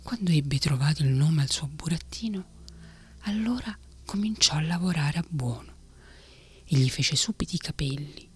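A woman reading a children's story aloud in a soft, unhurried whisper. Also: low voice, animated, breathy edge.

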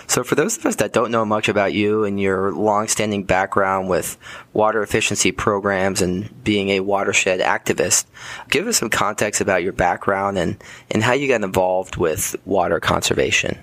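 A man's voice: fairly low pitch, steady tone, moderate pace, fairly bright tone, dry recording. A young man hosting a podcast interview, upbeat and friendly. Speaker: podcast host.

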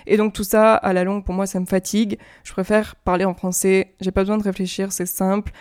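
A woman's voice: mid-range voice, little pitch movement, a little fast, a little mellow, smooth, no echo, studio quality. A French woman hosting her own podcast, talking casually and explaining things with lots of fillers and restarts. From France. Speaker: podcast host and YouTuber. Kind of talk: casual solo podcast talk.

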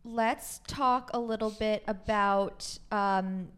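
Young female podcast co-host, American, smooth clear voice at medium pitch, informal conversational delivery. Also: fairly even intonation, slow. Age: young adult. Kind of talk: casual chat.

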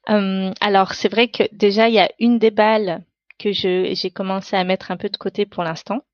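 A woman's voice: medium pitch, steady tone, moderate pace, no echo, muffled recording. A woman whose first language is Tamil, reflecting on her own life in an unscripted interview, searching for her words as she goes. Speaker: interview guest.

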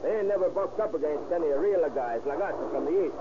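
An actor playing a bossy, tough gangster leader in an old radio drama, talking in gruff, slangy tough-guy speech. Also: a little high, lively intonation, brisk, lo-fi sound, close to an everyday voice. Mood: boastful. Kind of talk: 1930s radio acting. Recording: scratchy old radio recording with hiss.

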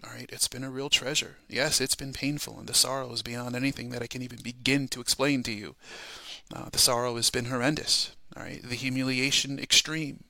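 A man speaks with a gravelly fry and a sharp, bright edge, recorded close and dry. He gives an informal devotional talk as a ministry podcast host. His delivery is earnest and emphatic, punctuated by short checks with the listener. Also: mid-range voice, flat delivery, a little fast, dry recording, poor audio. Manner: earnest, emphatic, often checks with "okay?" and "all right?".

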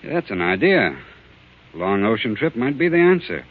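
An actor playing a stern, insistent family doctor in a 1940s radio drama, speaking firmly and deliberately. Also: male voice, mid-range voice, sing-song, breathy edge. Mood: grave, persuasive. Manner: firm, deliberate, commanding. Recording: tinny, narrow-band old radio recording.

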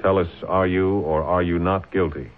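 Old tinny radio play, guy playing a deep voiced lawyer, stern but caring, formal, really persuasive. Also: steady tone, normal speed, music in the background, close to an everyday voice. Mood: stern, insistent. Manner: forceful, probing, formal, persuasive. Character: stern, principled, compassionate male defense lawyer. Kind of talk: radio drama acting.

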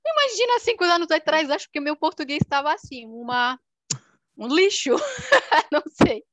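A young woman from Romania, a native Romanian speaker with a Romanian accent, chatting casually in an expressive, animated way. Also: sits high, normal speed, no echo. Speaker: language video creator. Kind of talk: casual chat.

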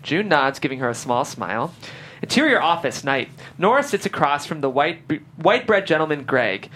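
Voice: medium pitch, sing-song, moderate pace, fairly bright tone. A narrator reading scripted stage directions at a table read.

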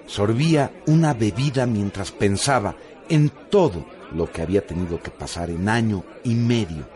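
A man giving solemn, measured scripted narration for a radio drama. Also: deep voice.

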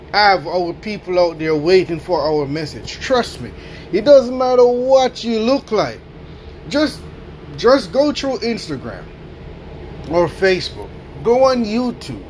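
A man with a notably high voice speaks slowly and deliberately into a podcast mic, delivering a motivational talk. He hammers his points home with repetition and tags many lines with a questioning "right?". A faint hum sits under the voice. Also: low-quality recording. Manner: emphatic, repeats key phrases, keeps asking "right?". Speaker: podcast host.

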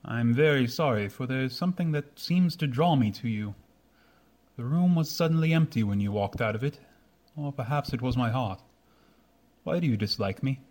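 A man playing a proud, reserved young gentleman in a radio drama, speaking formally. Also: medium pitch, moderate pace, natural voice. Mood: earnest. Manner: formal, restrained. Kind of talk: old-fashioned radio drama acting.

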